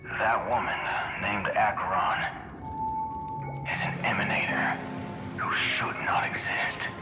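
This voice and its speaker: A man's voice: low-pitched, steady tone, slow pace, in a whisper. A man voicing a leisurely, enigmatic figure who teases with amusement, in a theatrical video game style. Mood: amused, knowing. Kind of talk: dramatic video game voice acting. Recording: echoey reverberant hall, music behind.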